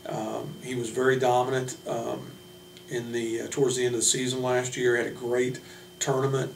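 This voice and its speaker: A man talks in a flat, even tone, like a college coach running through his roster without a script. His delivery is halting and plain-spoken. The recording is roomy and distant, with a noticeable hum. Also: medium pitch, creaky. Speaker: college basketball head coach. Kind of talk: unscripted team roster introduction.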